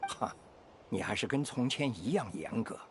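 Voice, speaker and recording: An actor playing a kindly, steadfast old veteran knight in a video game, with an aged, gravelly voice and an expressive manner. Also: male voice, mid-range voice, normal speed, slight background noise, lightly acted. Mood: genial. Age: older. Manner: expressive, sighing. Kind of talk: Chinese video game voice acting. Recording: music behind the voice.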